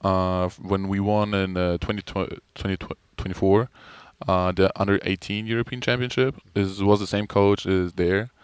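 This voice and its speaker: Deep-voiced young man with a German accent. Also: normal speed, smooth, no echo. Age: young adult. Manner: relaxed, hesitant, many fillers. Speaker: college basketball player. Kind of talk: interview answers. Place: arena media day.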